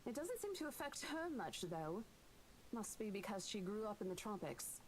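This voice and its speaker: A friendly young woman speaks with caring concern and lively rises and falls, as a streamer voices her. Her tone is easygoing and kind. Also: medium pitch, moderate pace, slight background noise, lightly acted, poor audio.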